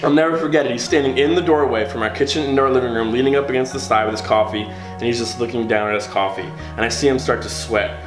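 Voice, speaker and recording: A man's voice: mid-range voice, fast, hardly any pauses, music in the background, low-quality recording. An American man, a pastor telling a personal story in a theatrical, emphatic, comedic way with a giggly edge.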